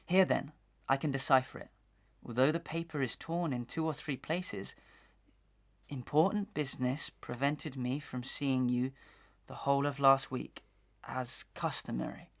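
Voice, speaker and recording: A man playing an earnest, honourable but disgraced gentleman in a Victorian melodrama, speaking formally and declaiming with feeling. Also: mid-range voice, fairly even intonation, a little slow, close to an everyday voice. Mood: excited, resolute. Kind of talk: Victorian melodrama audio acting.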